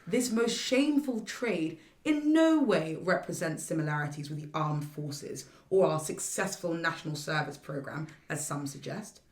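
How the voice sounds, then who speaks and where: A roomy, slightly distant radio drama recording of a woman minister with a lowish voice. She is principled and indignant, speaking in formal, biting oratory as if addressing a cabinet table. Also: lively intonation, moderate pace, hardly any pauses. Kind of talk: satirical radio play acting, formal speechmaking.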